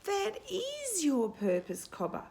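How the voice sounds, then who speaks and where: A woman voicing a wise, solemn female owl in a children's storybook, speaking slowly and deliberately. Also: extremely high pitch, huge pitch swings, vocal fry, background noise, voice pushed up, full character voice. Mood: wise, reassuring. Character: wise old-sounding female boobook owl, measured and solemn.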